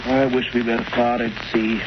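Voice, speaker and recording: An actor playing an anxious, gloomy criminal accomplice, uneasy in manner, in a 1930s radio drama. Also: male voice, medium pitch, level tone, normal speed, natural voice. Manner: nervous, uneasy. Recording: noisy muffled old radio recording.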